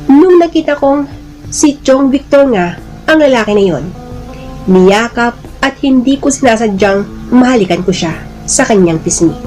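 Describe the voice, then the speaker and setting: A woman with a fairly high voice tells a dramatic first-person story. She delivers it in a deliberate, emotive way, as in a serial drama. Music plays behind her. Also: expressive, darkish tone, poor audio. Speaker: narrator of a listener's letter drama.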